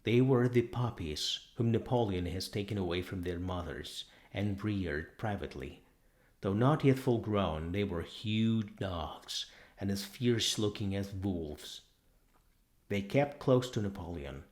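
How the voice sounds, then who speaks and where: A man's voice: fairly low pitch, expressive, a little slow, a little mellow. A man narrating a novel as an audiobook, reading scripted prose in a dramatic storytelling style.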